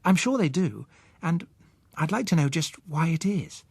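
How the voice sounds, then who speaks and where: A man voicing a thoughtful, slightly uneasy wife in an audiobook story, reasoning with gentle concern. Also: fairly high pitch, expressive, moderate pace, vocal fry, husky, no echo, pitched above the performer's own voice, full character voice. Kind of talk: audiobook character acting.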